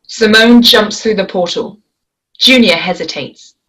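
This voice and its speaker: A woman's voice: medium pitch, measured pace, fairly bright tone, rough recording. Woman reading stage directions. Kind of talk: table read narration.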